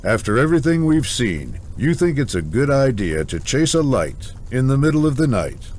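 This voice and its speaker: An actor playing a roguish, flippant adventurer in a fantasy audio drama. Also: male voice, mid-range voice, big pitch swings, normal speed, a little mellow, a little hiss or hum, close to an everyday voice. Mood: sardonic.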